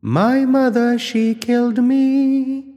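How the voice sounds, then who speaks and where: A man voicing an eerie singing bird, the spirit of a murdered boy, in a slow chanting singsong. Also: extremely high pitch, fairly even intonation, warm tone, big-room sound, pitched above the performer's own voice.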